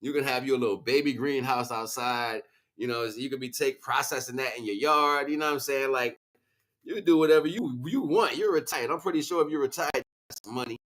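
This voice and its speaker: A man's voice: medium pitch, brisk, a bit bright. A man hosting a casual livestream, talking in a slangy, rambling, preachy way as he chats back to viewers. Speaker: livestream host. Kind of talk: casual impassioned livestream monologue.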